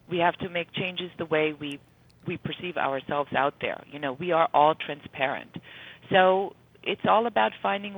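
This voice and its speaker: A woman's voice: fairly low pitch, breathy edge, low-quality recording. A woman speaking earnestly and emphatically as a guest in a conversational radio interview.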